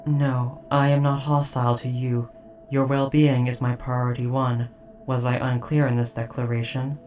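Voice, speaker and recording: A performer voicing an old, stoic, protective military robot in a formal, measured, literal, deadpan way for a scripted audio roleplay. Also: medium pitch, distant mic, a little hiss or hum, lightly acted. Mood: calm, protective.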